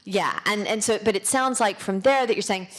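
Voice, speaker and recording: A woman's voice: medium pitch, brisk, crowd noise. A woman in an audience asking a spontaneous, exploratory question, thinking it through aloud.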